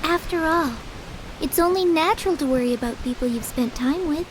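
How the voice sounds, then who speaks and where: A sweet, wise-beyond-her-years young girl, voiced by a woman, speaking in a high, gentle tone meant to comfort. A steady hiss sits under the game audio. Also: fairly quick, natural voice. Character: fourteen-year-old girl, kind, calm, wise beyond her years. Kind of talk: video game cutscene dialogue. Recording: hissy game audio capture.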